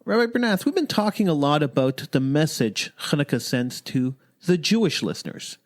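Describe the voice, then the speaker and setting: A male radio host speaks in a lively, swinging voice that sits a bit high. He announces and interviews in broadcast style. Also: moderate pace.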